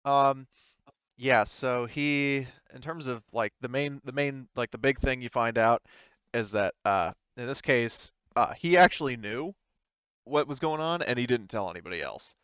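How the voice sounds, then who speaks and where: Male game master, casual improvised narration, smooth tone, close dry sound with slightly dull fidelity. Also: mid-range voice, unhurried, fairly bright tone, no echo.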